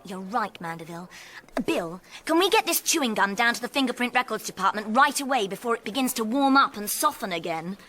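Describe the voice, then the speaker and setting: A woman playing a plucky, brisk and loyal sleuth in a period detective radio drama. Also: on the high side, expressive, bright, forceful tone. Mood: earnest, hopeful. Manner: brisk, spirited.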